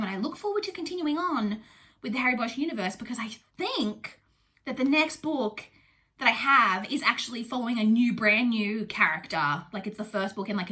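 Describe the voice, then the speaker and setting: Young woman, nasal and stuffy from a cold, rambling book chat, filler words, middle pitch. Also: bright, forceful tone. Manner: chatty, rambling, many ums and likes. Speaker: booktuber host. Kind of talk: casual book review chat.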